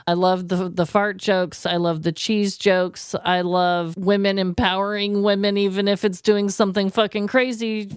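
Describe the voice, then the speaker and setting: Smooth-voiced woman, crude and emphatic podcast co-host. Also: mid-range voice, normal speed, hardly any pauses, dry recording, studio quality. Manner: crude, animated, emphatic joking. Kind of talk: casual comedic chat.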